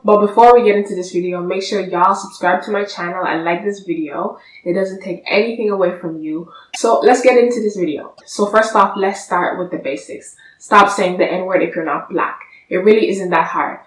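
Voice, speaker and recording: A woman's voice: on the low side, moderate pace, hardly any pauses, a little hiss or hum. Young woman lecturing the camera, kinda sassy and blunt, echoey room, mic a bit far off. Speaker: vlogger. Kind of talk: direct-to-camera vlog. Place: room indoors, seated on a sofa. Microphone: camera at a distance.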